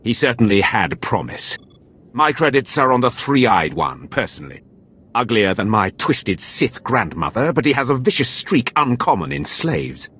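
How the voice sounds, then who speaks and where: An actor playing a callous Sith overseer in a game, husky, wry and deferential yet commanding. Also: male voice, medium pitch, very animated, moderate pace, fairly bright tone, exaggerated voice. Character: callous, sardonic Sith overseer of slave trials.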